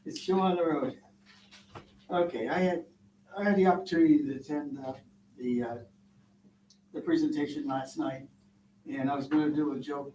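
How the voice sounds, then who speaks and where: A man's voice: fairly high pitch, dark tone, recorded from a distance. Man speaking from afar in an echoey room. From Vermont. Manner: rambling, folksy, halting with fillers. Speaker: school board member. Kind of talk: board meeting remarks. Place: school board meeting room. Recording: echoey room, mic from afar, background noise.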